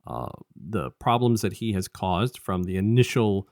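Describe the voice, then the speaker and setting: A man co-hosting a casual review podcast, talking in a halting, rambling way and often restarting his sentences. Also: sits low, very animated, darkish tone, dry recording, studio quality. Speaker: podcast co-host.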